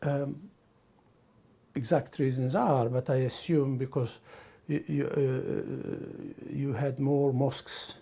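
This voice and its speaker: A man from the Middle East or North Africa talking casually and reflectively, haltingly searching for words. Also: medium pitch, fairly even intonation, vocal fry, mellow. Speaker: documentary interviewee.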